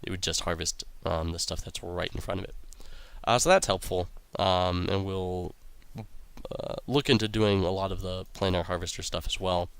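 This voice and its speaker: A young man hosting a game playthrough, talking casually with a raspy, sick-sounding voice and rambling with lots of ums and uhs. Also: sits low, steady tone, normal speed, a bit bright, dry recording. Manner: rambling, many ums and uhs, reads quest text aloud. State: raspy voice from illness. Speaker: let's play host.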